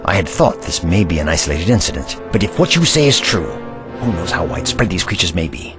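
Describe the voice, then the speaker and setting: An actor playing an eccentric, kindly and curious investigator in an audio drama, pensive and courtly in manner. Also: male voice, low-pitched, big pitch swings, brisk, over music. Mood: pensive, concerned.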